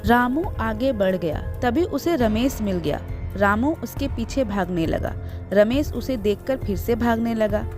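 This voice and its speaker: Lady narrator for a kids story, bit high pitched, scripted read, music under it. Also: unhurried. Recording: music behind the voice.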